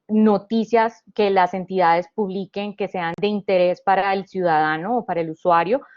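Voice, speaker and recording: A woman's voice: medium pitch, a little mellow, lo-fi sound. Woman from Honduras explaining step by step. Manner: explains step by step, with frequent restarts and "eh". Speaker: panelist presenting a government digital service. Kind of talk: explanatory presentation.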